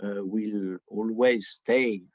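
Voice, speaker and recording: A man's voice: on the low side, fairly even intonation, slow, darkish tone, no room sound, muffled recording. A man from France with a French accent, giving political commentary in conversation as an interview guest and searching for his words. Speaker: interview guest, book editor.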